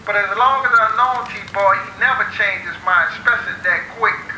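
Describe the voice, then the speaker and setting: A man's voice: high voice, moderate pace, bright, forceful tone, echoey room, slight background noise, poor audio. An actor playing an uneasy but eager male gang underling in an audio drama, speaking hesitantly. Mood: doubtful, eager.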